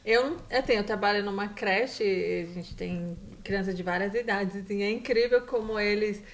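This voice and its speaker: A middle-aged woman from Brazil, a guest on a casual live stream, telling stories in a warm, chatty way with lively quoted asides. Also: mid-range voice, moderate pace, a little hiss or hum.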